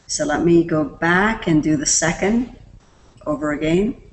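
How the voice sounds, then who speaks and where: Female tutorial presenter, low voice, easygoing step-by-step delivery, light background hiss. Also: a little slow. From Canada. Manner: relaxed, step-by-step explaining with fillers. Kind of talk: screen-recorded software tutorial.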